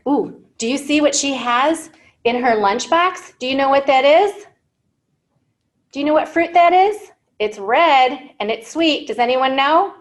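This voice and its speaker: High-pitched woman reading to children. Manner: expressive, simple questions and explanations to children. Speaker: children's librarian hosting storytime. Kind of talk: picture book read-aloud for young English learners. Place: indoor library room.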